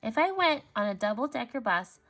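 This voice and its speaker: A focused, matter-of-fact little boy in a children's story voiced by a woman. He sounds fairly high, with music in the background. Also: big pitch swings, brisk, a bit bright, exaggerated voice. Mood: absorbed. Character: focused little boy absorbed in bugs and buses.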